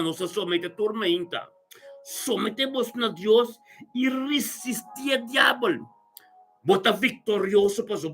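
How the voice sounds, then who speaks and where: A man's voice: sits high, sharp tone. Man in fervent, repetitive prayer over music. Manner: repetitive, with frequent interjected praise exclamations. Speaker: prayer leader. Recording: music playing behind the voice.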